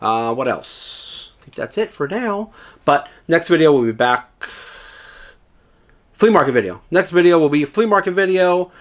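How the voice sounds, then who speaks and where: A man's voice: fairly high pitch, lively intonation, normal speed. A man talking casually to his viewers, a bit nasal and dry-throated from illness, rambling with lots of fillers and restarts. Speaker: reseller YouTuber. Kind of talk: casual vlog advice to viewers.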